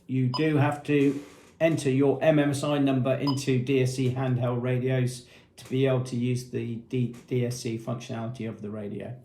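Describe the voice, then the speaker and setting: A man's voice: mid-range voice, a little mellow, roomy sound, slight background noise. A man presenting a product demonstration in a methodical, matter-of-fact, explanatory way.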